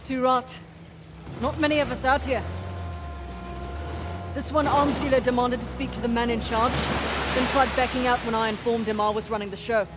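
A woman playing a tough, proud, guarded mercenary leader in a video game, with a South African accent and terse, deadpan delivery. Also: on the high side, normal speed, pauses often, natural voice. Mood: dry, guarded. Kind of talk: naturalistic video game acting. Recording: game ambience and engine noise.

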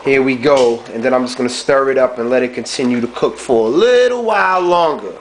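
A young man in a dim home kitchen talking through his cooking in a relaxed, slangy way, recorded on a handheld camera mic. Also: mid-range voice, a little fast, a little hiss or hum. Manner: laid-back slangy chatter, frequent "you know what I'm saying". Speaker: home cook vlogger. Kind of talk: casual cooking vlog. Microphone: handheld camera mic held close.

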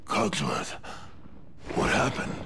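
A man playing a huge, brooding beast prince in a game, with a husky growl and a heavy, anguished manner. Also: fairly low pitch, sing-song, takes it slowly, over loud music. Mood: gruff, weary.

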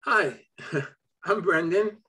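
Old guy from brooklyn on a video call, kinda high voice, laughs a lot. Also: drawn-out words. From Brooklyn, New York. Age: 70s. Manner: chuckles often, relaxed. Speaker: host. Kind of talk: casual video call conversation. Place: home office.

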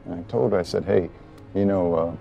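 A deep, gravelly-voiced widower, speaks in a soft, halting way, stopping often as he searches for words. He is outdoors on a city rooftop, recorded for a film with music playing underneath. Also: lo-fi sound. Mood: grieving. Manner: hesitant, halting, soft-spoken with many pauses. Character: grieving middle-aged widower, gentle and soft-spoken. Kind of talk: film drama scene with outtakes.

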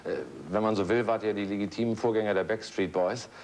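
A middle-aged man hosting a TV show in a large studio, presenting in a brisk, chatty way with rhetorical asides. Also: lowish voice, fairly even intonation, darkish tone, a little hiss or hum. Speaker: TV show host. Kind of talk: lively presenting.

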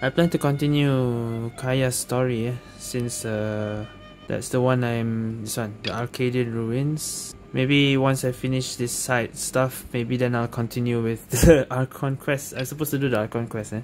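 A woman's voice: very deep voice, steady tone, measured pace, a little mellow. A woman giving casual gameplay commentary, thinking aloud in short reactive phrases. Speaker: video game streamer. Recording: game music behind the voice.

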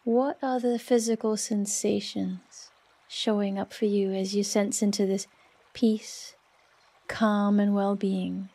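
Slow, mellow woman guiding a relaxation meditation. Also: mid-range voice, flat delivery, husky. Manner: soft, soothing, unhurried with long pauses. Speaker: yoga nidra meditation guide.

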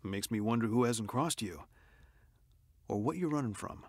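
A man playing a calm, probing psychiatric doctor in a radio drama, measured and dryly questioning. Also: mid-range voice, slightly rough, no echo, studio quality. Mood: calm, matter-of-fact.

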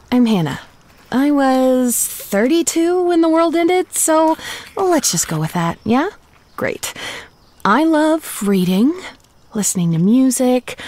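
Quirky zombie woman, thirties, audio drama, wry and chatty, highish voice, very animated. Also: full character voice. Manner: conversational, wry, playful asides. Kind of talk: comedic audio drama monologue, breaking the fourth wall.

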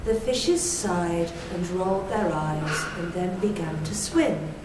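A woman in her thirties telling a rhymed children's story in a prim, deliberate 1940s television presenter manner. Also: on the low side. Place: small bare brick-walled room indoors. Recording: echoey room, distant mic, noisy.

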